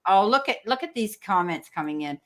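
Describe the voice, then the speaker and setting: A woman's voice: medium pitch, animated, a little fast, fairly bright tone. A Canadian woman hosting a live tarot reading, reassuring and calling people her darling. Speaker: tarot reader, stream host.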